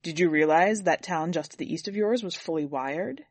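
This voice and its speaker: A woman's voice: fairly low pitch, moderate pace, a little mellow, husky, no echo, pitched below the performer's own voice. A woman voicing an embarrassed, formal male translator for a film crew in a story. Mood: uneasy, polite.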